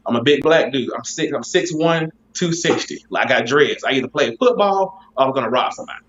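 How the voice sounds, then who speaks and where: A man's voice: medium pitch, fast talker. A man from Birmingham, Alabama, hosting a casual talk and telling stories animatedly. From west side of Birmingham, Alabama. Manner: animated storyteller, acts out quoted voices. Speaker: podcast host. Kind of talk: casual chat. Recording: dull lo-fi sound.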